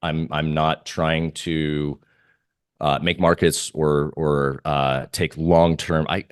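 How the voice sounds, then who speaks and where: Male interview guest, extremely low voice, conversational and halting, dry recording. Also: level tone, clean tone, no room sound. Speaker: trader guest being interviewed. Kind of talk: casual interview talk on markets.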